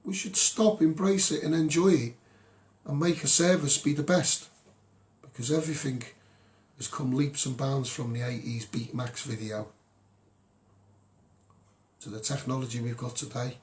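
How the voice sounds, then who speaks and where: A man's voice: mid-range voice, fairly even intonation, normal speed, pauses often. A man with a Scouse accent speaks off the cuff to his followers as a campaigner, in an earnest, exhortative monologue. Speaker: social media campaigner addressing followers.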